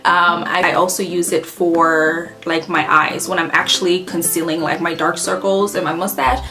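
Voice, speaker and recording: A woman with a light Haitian Creole accent talks through her favorite makeup products in a loose, rambling way, pausing on ums and correcting herself as she goes. Her voice sits on the lower side, and a guitar track plays clearly behind her. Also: level tone, sharp tone. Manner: chatty, rambling, many ums and self-corrections. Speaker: beauty vlogger. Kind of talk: casual makeup product review. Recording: clear background guitar music.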